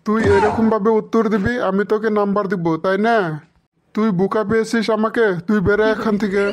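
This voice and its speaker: A man's voice: high-pitched, flat delivery, fairly quick, lightly acted. A man voicing an impatient, boastful schoolteacher who scolds and quizzes pupils in a comedy cartoon. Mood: exasperated scolding. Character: exasperated bossy male schoolteacher quizzing pupils.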